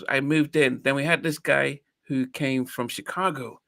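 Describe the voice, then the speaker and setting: Bright-voiced man hosting a podcast. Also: medium pitch, fairly even intonation, a little slow, creaky, no echo, studio quality. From Nigeria. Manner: reflective, hesitant with restarts. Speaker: podcast host. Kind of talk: casual interview chat.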